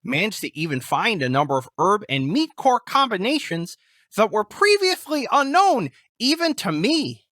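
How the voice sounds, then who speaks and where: A woman's voice: fairly high pitch, fairly bright tone, dry recording, clean studio sound. A woman voicing a fussy, nerdy botanist doctoral student in a video game, with a bookish, wordy and slightly stammering way of talking. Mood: dry, condescending. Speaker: quest-giving game character. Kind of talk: scripted video game dialogue.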